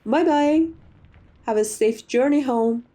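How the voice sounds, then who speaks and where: A woman's voice: high-pitched, slow, warm tone. A woman playing a sociable, gracious vampire who chats in a genteel, slightly formal way, as an ASMR roleplay. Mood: gracious, cheerful. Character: sociable, chatty, gracious old female vampire being interviewed.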